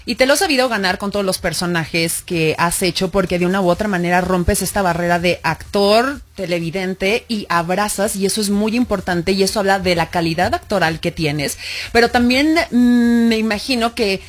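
A woman's voice: medium pitch, moderate pace. A woman hosting an interview, chatty and curious, asking long winding questions. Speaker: interviewer host. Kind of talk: casual interview chat.

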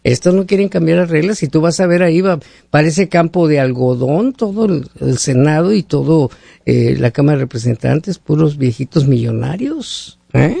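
A man's voice: fairly high pitch, animated, normal speed, creaky, dark tone, no echo. A man hosting a radio call-in show, chatting casually in a folksy, talkative way and often asking rhetorical questions. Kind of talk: casual opinionated on-air chat with callers.

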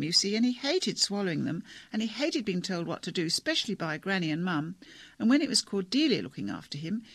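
A narrator voicing a tearful, anxious teenage girl who keeps apologizing, in a high, breathless, rushed way. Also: dry recording.

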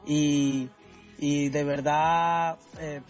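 Man from Venezuela, fairly high voice, halting interview talk, searching for words, music behind. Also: little pitch movement, dull audio. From Caracas, Venezuela. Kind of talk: casual radio interview.

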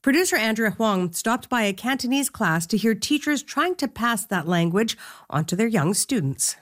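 A woman's voice: fairly high pitch, animated, normal speed. A woman with a Canadian accent reading a scripted introduction as a radio host.

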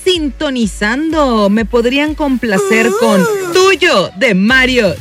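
A woman's voice: high voice, expressive, slow, loud music behind the voice. A young woman with a Mexican accent hosting a live radio show, reading listener messages in a playful, chatty way and laughing at herself. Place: radio studio. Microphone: studio mic.

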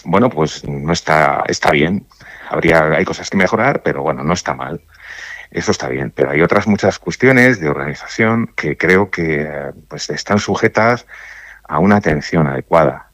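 Deep-voiced man, halting and thoughtful. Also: expressive, fairly bright tone, no room sound. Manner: thoughtful, halting, many restarts and fillers. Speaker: judge interviewed on radio. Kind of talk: radio interview answers.

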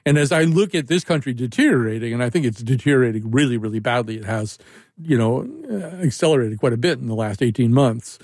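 A man's voice: medium pitch, fast, darkish tone, dry recording, studio quality. A man hosting a call-in radio show, talking casually in a rambling, wry way and often restarting his sentences. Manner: rambling, self-interrupting, wry.

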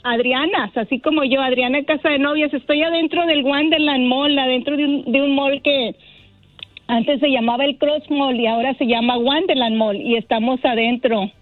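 A woman's voice: sits high, steady tone, normal speed, no room sound, soft background music. A middle-aged woman calling in by phone, chatting cheerfully and laughing often as she talks about her bridal shop. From Mexico (Monterrey) and Texas. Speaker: caller, bridal shop owner. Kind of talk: phone-in chat on a radio show. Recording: tinny phone line, dry.

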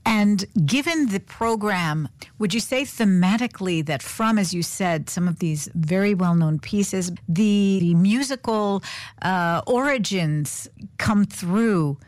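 Radio host lady, lowish voice, calm careful questions, dry mic. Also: no echo. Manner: measured, articulate questions. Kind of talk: radio interview.

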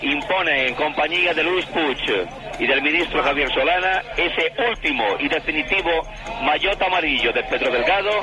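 A man's voice: fairly high pitch, normal speed. A man giving solemn, grand live sports commentary. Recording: noisy archive outdoor broadcast.

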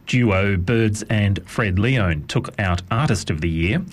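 Low-voiced male newsreader. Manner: measured, formal delivery. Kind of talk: scripted news bulletin.

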